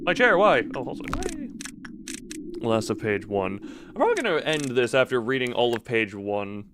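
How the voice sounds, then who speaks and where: Male streamer with clear background noise. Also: medium pitch, very animated, normal speed. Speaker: streamer talking with live chat. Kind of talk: casual livestream commentary with read-aloud story passages.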